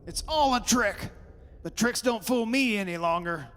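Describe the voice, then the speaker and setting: A man's voice: sits high, animated, moderate pace, a bit bright, long reverb tail. An actor playing a bullheaded, skeptical father in an old-time radio horror drama, blustering and commanding. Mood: angry, dismissive.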